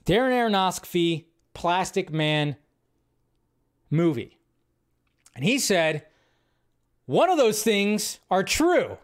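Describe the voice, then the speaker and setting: A man hosting a movie news show, giving casual, rambling fan commentary in an informal, thinking-out-loud way. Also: a little high.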